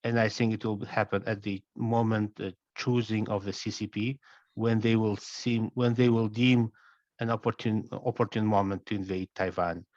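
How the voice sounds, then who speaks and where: A man with a somewhat low voice answers as an interview guest, deliberate and measured with occasional hesitations. His audio is dull and lo-fi, with a dry, close sound. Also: flat delivery, a little mellow, no room sound.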